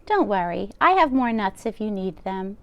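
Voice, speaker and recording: A woman's voice: medium pitch, sing-song, moderate pace, dry recording, muffled recording. A woman voicing a kindly, fussing grandmother of ninety-seven who is hard of hearing, in a read-aloud storybook. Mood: chatty. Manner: cheerful, fussing hostess.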